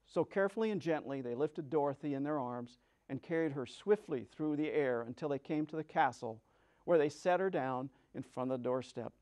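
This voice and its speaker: Male storyteller, slightly high voice, theatrical and deliberate, for dramatic narration of a children's tale. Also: darkish tone.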